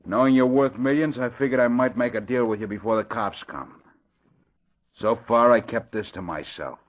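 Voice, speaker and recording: A man's voice: mid-range voice, moderate pace, lightly acted. Smug male racketeer for vintage radio crime play, drawling and scheming, acted by a man, muffled archive sound. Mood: smug, confident. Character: smug scheming male nightclub owner, a blackmailing killer. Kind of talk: 1940s radio acting. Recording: dull old radio recording.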